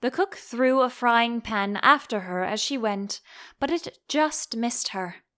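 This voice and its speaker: A woman narrating a storybook as an audiobook reader, in an expressive style. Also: fairly high pitch, takes it slowly.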